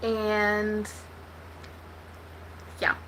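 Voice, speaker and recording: A woman's voice: medium pitch, completely flat delivery, extremely slow, fairly bright tone, slight background noise, low-quality recording. A woman from Texas with an American accent, chatting casually to livestream viewers in a blunt, slangy, sweary way. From Texas, United States. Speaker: livestream host.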